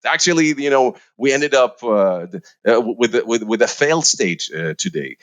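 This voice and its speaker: A man's voice: mid-range voice, expressive, moderate pace, a bit bright. A middle-aged man from Iraq, a researcher answering interview questions with many fillers and restarts. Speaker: researcher guest.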